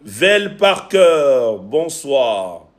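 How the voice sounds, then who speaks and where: Slow, emphatic Cameroonian man. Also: medium pitch, big pitch swings. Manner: emphatic, repeats phrases for effect. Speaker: relationship coach and live stream host. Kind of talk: preachy livestream talk to viewers, greeting commenters.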